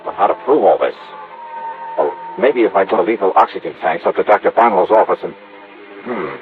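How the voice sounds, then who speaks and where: An actor playing a shrewd, probing insurance investigator with a clipped hard-boiled delivery, in old-time radio detective style. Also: male voice, low voice, expressive, fairly quick, faint music behind. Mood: tense narration. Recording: narrow, noisy vintage radio recording.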